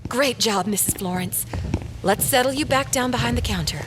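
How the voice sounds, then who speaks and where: A woman's voice: on the high side, normal speed, noisy background, close to an everyday voice. An actress playing a caring, capable small-town woman in an audio drama, coaxing and practical. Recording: music behind the voice.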